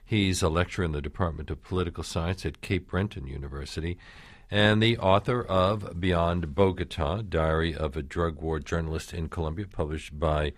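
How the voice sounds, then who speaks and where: Calm radio interviewer, a man with a very low voice, dry booth sound. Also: dry recording. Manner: calm and inquisitive, slow with pauses.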